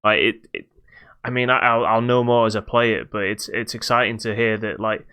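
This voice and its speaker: A man chatting casually about video games as a podcast co-host, halting and repeating words as he thinks aloud. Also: on the low side, steady tone, bright, forceful tone, smooth.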